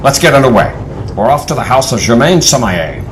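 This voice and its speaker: An actor playing a grave, knowledgeable team leader in an audio adventure drama, with a measured, authoritative way of explaining. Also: male voice, medium pitch, big pitch swings, lightly acted. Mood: decisive. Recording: faint background noise.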